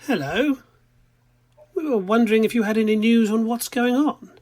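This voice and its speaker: Amateur radio drama: actor as hungry, insistent male passenger, raised pitch, slightly rough husky tone. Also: moderate pace, darkish tone. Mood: wheedling. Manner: wheedling, then confrontational. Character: pushy hungry male passenger from the next carriage.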